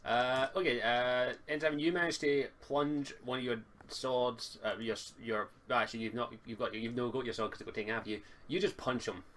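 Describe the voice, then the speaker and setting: A man with a Scottish accent casually narrating as game master, speaking informally and haltingly over a voice chat mic. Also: medium pitch, fairly even intonation, fairly bright tone. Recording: home mic with faint noise and clicks.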